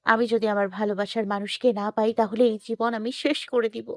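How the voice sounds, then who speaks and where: A woman's voice: on the high side, animated, normal speed, no room sound, close to an everyday voice. A woman playing a lovesick, clingy young woman with a pleading, childlike insistence in an audio love story. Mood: despairing.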